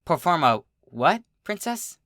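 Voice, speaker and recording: A performer voicing a bookish young female pony, anxious and easily exasperated, in an expressive cartoon-style story reading. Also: mid-range voice, slow, no echo, clean studio sound, voice pushed up. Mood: eager, puzzled. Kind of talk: animated character acting in a fan fiction reading.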